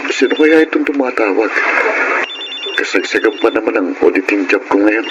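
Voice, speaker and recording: A young Filipino man acting a calm older brother in a radio drama. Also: fairly low pitch, moderate pace, highs cut off, heavy background noise, natural voice. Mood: puzzled, polite. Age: young adult.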